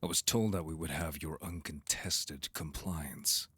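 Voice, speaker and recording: A man plays a cold envoy from a vampire council in an audio roleplay. His voice is very deep and husky, recorded bone dry. He is formal, curt and openly disdainful. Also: fairly even intonation, unhurried, sharp tone, no room sound, heavily acted. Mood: curt, suspicious. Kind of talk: audio roleplay drama acting.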